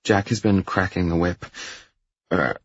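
A man's voice: low-pitched, steady tone, unhurried, slightly rough, muffled recording. A man voicing a teasing young woman who scolds her boyfriend in an audiobook story. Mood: earnest.

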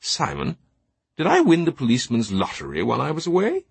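A man's voice: medium pitch, wildly swooping pitch, brisk, gravelly, husky, dry recording, voice pushed up, full character voice. A man voicing a jovial, posh older British knight in an audiobook, breezy and blustering with theatrical indignation. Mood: breezy bewilderment.

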